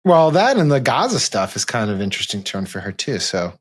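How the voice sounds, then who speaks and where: A man's voice: medium pitch, very animated, a little fast, breathy edge. A man hosting a casual political chat in an amused, incredulous and sardonic manner. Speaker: host.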